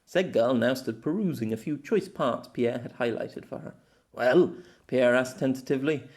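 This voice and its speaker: An amateur audiobook reader giving an expressive narration of a scripted story. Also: mid-range voice, normal speed.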